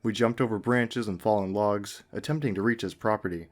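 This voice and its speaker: A man reading a scripted first-person horror story in a measured way, with dramatic pauses. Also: lowish voice. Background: music.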